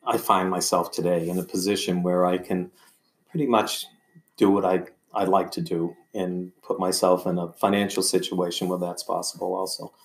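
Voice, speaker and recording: An older man telling personal stories as a podcast guest, in a halting, rambling and earnest conversational way. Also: fairly low pitch.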